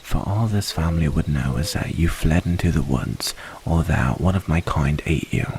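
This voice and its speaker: A man playing a wary, earnest and protective werewolf in an ASMR roleplay, giving hushed warnings with breathy pauses. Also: extremely low pitch, a little hiss or hum. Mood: pleading concern.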